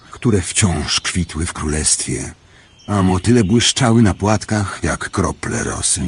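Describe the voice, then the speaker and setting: An actor playing a grand male clock master who tells fairy tales in an audio drama, with a husky voice. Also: low-pitched, heavily acted. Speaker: storyteller.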